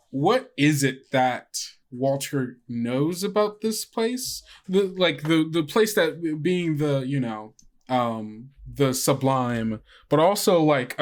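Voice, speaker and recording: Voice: medium pitch, lively intonation, slow pace. A game master in casual tabletop game chat, thinking aloud in a hesitant way.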